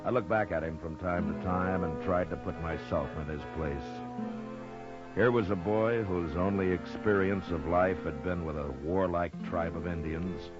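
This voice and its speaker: An actor playing a grave, patient frontier marshal in an old-time radio western, measured and plain-spoken. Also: male voice, low voice, natural voice. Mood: somber, reflective. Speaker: lead character and narrator of a western. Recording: muffled old radio recording, music behind.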